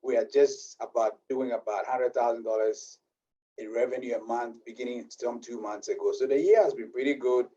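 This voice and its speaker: Ghanaian-accented man, earnest interview guest, mellow tone, muffled distant audio. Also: mid-range voice, fairly even intonation, moderate pace. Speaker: business owner guest. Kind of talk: interview answers.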